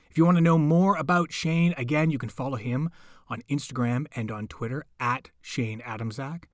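A man's voice: mid-range voice, no echo, clean studio sound. A man reading a scripted outro announcement as a podcast host.

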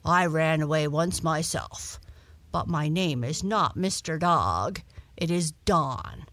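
Woman putting on a gruff, hearty storybook dog. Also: deep voice, lively intonation, takes it slowly, fairly bright tone, no echo, full character voice. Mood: gruff, softening. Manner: blunt, hearty, folksy. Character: gruff but kindly, boastful male dog. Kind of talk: children's storybook character voice.

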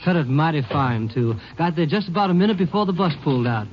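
An actor playing an easygoing, folksy cab driver in a 1950s radio drama. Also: male voice, on the high side, lively intonation, quick pace, natural voice. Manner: drawling, folksy. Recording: dull old radio recording, faint hiss.

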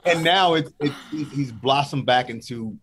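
A middle-aged man talking as a podcast guest in casual chat, animated and emotional, quick to laugh. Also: mid-range voice, a little hiss or hum.

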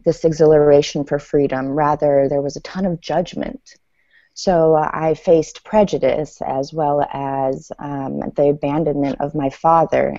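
Low, gravelly woman, native Farsi speaker. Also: dark tone, no echo. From Iran, then the United States. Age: 30s. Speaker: interview guest, author. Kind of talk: personal storytelling in an interview. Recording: dull lo-fi audio.

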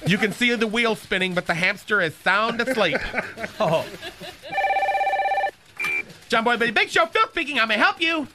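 A man's voice: very high-pitched, animated, moderate pace, pauses often, noisy background. A man voicing a flamboyant, gossipy male receptionist in a radio comedy sketch, with a lilting, swooping delivery. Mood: catty. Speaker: show's receptionist character.

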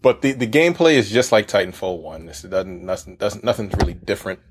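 Low-voiced, laid-back, swearing co-host. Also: male voice, fairly quick, breathy edge. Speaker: podcast co-host. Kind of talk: casual podcast chat.

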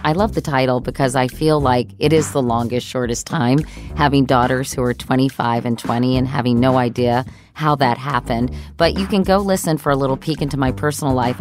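A woman in her fifties hosting a podcast, chatty and self-deprecating, laughing easily. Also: extremely low pitch. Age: 50s. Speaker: podcast host. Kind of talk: casual chat.

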